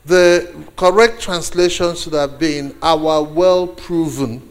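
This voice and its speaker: A man with a Nigerian accent, a native Igbo speaker, preaching a sermon to a congregation as a guest, deliberately, with pauses and repeated phrases for emphasis. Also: on the high side. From eastern Nigeria.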